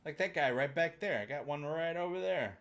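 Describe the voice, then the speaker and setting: A man's voice: fairly high pitch, animated, fairly quick, fairly bright tone. Casual, rambling man hosting a livestream. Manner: rambling, jokey, colloquial. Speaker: host of a fan livestream. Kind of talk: casual chat, answering live viewer comments.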